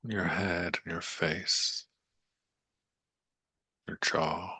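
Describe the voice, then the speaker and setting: A man guides a meditation in a slow, soothing manner, leaving long pauses between short phrases. His delivery is very even, with little melodic movement. The voice is husky and breathy yet crisp on the top end, gently coaxing the listener along. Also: deep voice, no echo.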